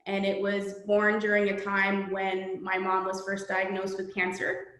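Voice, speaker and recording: A woman's voice: medium pitch, monotone, unhurried, a bit bright. A middle-aged woman author, born in Poland, reading her children's book aloud and then answering interview questions in an earnest, reflective way. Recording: echoey room.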